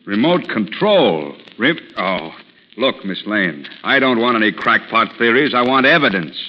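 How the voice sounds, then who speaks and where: An actor playing a gruff, sceptical, blustery police commissioner in a 1940s radio drama. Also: male voice, lowish voice, very animated, measured pace. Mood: exasperated. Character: gruff, exasperated middle-aged male police commissioner. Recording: muffled, hissy old radio recording, reverberant.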